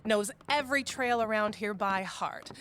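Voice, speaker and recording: An actress playing a brisk, stretched-thin office director in an audio drama, businesslike and dryly matter-of-fact. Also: female voice, medium pitch, a bit bright, a little hiss or hum, close to an everyday voice. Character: brisk, dry, stretched-thin woman boss. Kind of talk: scripted audio drama dialogue.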